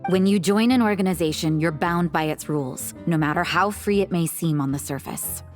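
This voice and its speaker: Woman doing a cool low deadpan anime game nun, sardonic n unimpressed. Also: fairly quick, soft background music, close to an everyday voice. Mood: wry. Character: cool, cynical young nun, aloof and unimpressed. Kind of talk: anime video game voice acting.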